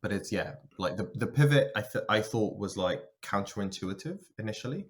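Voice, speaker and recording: A man's voice: on the low side, lively intonation, fairly quick, slight background noise. A man talking casually as a podcast guest about himself, thinking aloud as he goes. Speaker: podcast guest, software engineer and linguist. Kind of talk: casual conversational interview, telling own career story.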